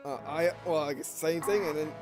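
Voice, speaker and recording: A man giving casual, halting commentary as he plays a game, rambling a little and repeating his phrases. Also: fairly high pitch, loud music behind the voice. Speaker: gameplay video host. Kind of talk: casual gameplay commentary, sometimes reading game text aloud.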